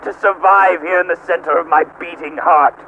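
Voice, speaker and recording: A man playing a sadistic, hate-consumed supercomputer that mocks like a cruel god, in a theatrical, menacing monologue with drawn-out words, as an amateur voice acting reading. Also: on the high side, fairly even intonation, creaky. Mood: sinister, sardonic. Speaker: amateur voice actor. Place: at home. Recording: dull lo-fi home recording, faint noise.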